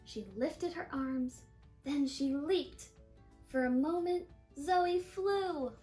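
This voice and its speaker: A woman with a high voice reads a children's picture book aloud. Her delivery is animated, drawing out important words for effect. Faint music plays along with the reading. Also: slow pace. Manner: animated storytelling, stressing and stretching key words. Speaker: storybook reader.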